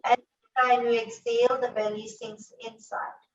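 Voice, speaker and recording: A woman with a slightly high voice guides a breathing meditation, speaking softly and slowly with long pauses between instructions. She sounds set back from the microphone in an echoey room, and the recording is muffled and dull. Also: distant mic. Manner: slow, soft, calm instructions with long pauses. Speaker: yoga teacher.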